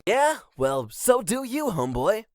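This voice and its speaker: A woman playing an excitable, stubborn young boy in a comic dub, slangy and teasing. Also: medium pitch, measured pace. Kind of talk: comic dub character acting.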